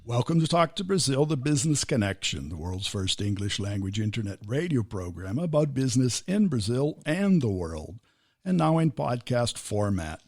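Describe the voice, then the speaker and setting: An older American man hosts a business interview podcast, reading the guest's credentials in a measured, formal way before loosening into easy chat. His voice sits at an ordinary pitch and is recorded dry and close in a small podcast studio. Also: big pitch swings, a little mellow, dry recording, faint music behind. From United States. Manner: formal scripted introduction, then easy conversational interjections. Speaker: podcast host.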